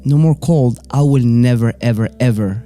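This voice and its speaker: Man in his forties, Moroccan accent, native Arabic and French, relaxed dark tone, casual storytelling, faint music. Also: mid-range voice, normal speed. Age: 40s. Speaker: interview guest, business owner.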